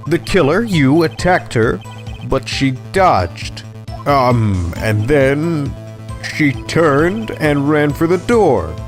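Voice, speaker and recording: A man's voice: fairly high pitch, big pitch swings, slow. A man voicing a nervous, bumbling, whiny witness in a video game dub, stammering and flustered as he talks. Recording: music under the voice.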